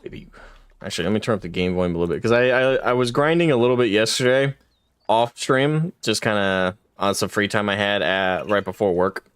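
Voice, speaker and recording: A man's voice: fairly low pitch, fairly quick, a bit bright, clean tone, no room sound. A man in his twenties streaming a game live, chatting casually with his chat in a rambling, self-deprecating way and laughing often. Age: 20s.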